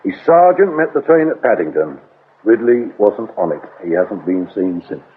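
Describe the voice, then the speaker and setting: An actor playing a brusque, sarcastic, middle-aged English detective inspector in a radio drama, grumbling and curt. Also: male voice, fairly low pitch, expressive, moderate pace, natural voice. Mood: terse. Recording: muffled lo-fi radio recording with hiss.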